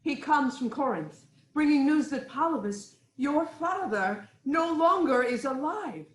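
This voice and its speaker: Regal queen, slow, highish voice, stately, reassuring, roomy echo, Greek tragedy. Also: expressive. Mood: reassuring, firm. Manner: stately, deliberate, formal. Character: regal queen, anxious wife and mother, firmly reassuring. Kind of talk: dramatic reading of Greek tragedy.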